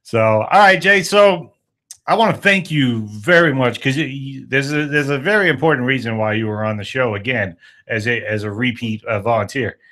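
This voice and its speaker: A man hosting a casual sports talk show, upbeat and quick to laugh, often repeating himself. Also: mid-range voice, fairly bright tone. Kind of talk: casual sports radio chat.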